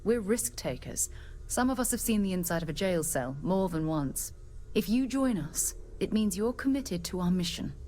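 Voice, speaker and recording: An actress playing a seasoned, principled space explorer captain in a video game, speaking in a measured, earnest, authoritative way. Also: female voice, medium pitch, animated, a little mellow, a little hiss or hum, close to an everyday voice. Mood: firm, principled. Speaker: expedition leader. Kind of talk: video game character dialogue.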